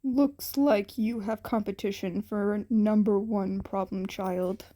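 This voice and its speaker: A woman voicing a gruff, weary male hero in a story reading, dry and low-key but soft-hearted underneath. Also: steady tone, slow pace, natural voice. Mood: gently wry.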